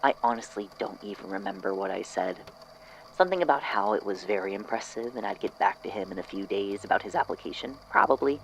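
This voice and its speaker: A man's voice: fairly low pitch, lively intonation, fast, vocal fry, slight background noise, low-quality recording. A man acting as an unsettled narrator in an audio drama, hesitantly and reflectively recounting an eerie memory. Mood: uneasy recollection. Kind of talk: audio drama statement, hesitant first-person storytelling.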